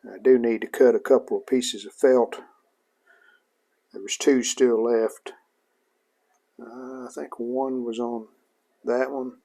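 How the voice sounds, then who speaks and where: A man hosts a hobby restoration vlog in a voice with plenty of gravelly fry. His pitch sits in the middle of the male range. He talks through the hands-on work casually and plainly, showing each piece as he goes. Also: steady tone, slow, long pauses, mellow. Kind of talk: casual hands-on workshop narration.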